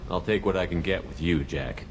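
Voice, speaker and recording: A hardened starship commander in a sci-fi game. His voice is very deep and brisk, firm and direct. Faint background noise sits under it.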